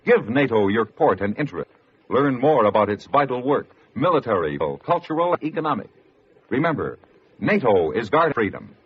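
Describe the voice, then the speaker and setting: Earnest male announcer for scripted public-service spot; lively intonation, husky, dull vintage audio. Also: mid-range voice, normal speed. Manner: earnest, formal. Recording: muffled old radio recording.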